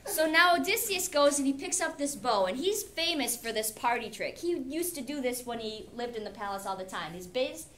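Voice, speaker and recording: A woman's voice: a little high, a bit bright. A middle-aged woman teacher reading aloud theatrically in a classroom, with informal explanatory asides. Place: classroom, indoors. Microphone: camera a few metres away. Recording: classroom camera with background noise.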